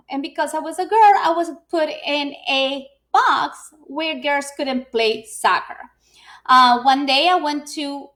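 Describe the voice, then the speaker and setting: Woman, high-pitched, middle-aged, casual live talk, many ums. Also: fairly bright tone. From Ecuador. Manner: chatty, frequent fillers and restarts. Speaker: business owner and speaker. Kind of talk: live video presentation.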